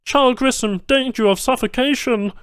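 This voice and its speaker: An alien lab technician from a science fiction audiobook, acted out by a male narrator, raises the alarm. The voice is pushed high and loud, with urgent, clipped announcements. It sounds agitated and official. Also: normal speed, clear, resonant tone, no room sound, clean studio sound.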